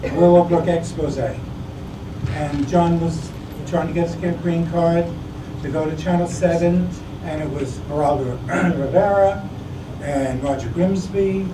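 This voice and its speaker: A man, a musician guest, telling casual anecdotes in a halting, wry way. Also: on the high side. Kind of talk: casual anecdotal interview chat. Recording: noisy, echoey room, distant mic.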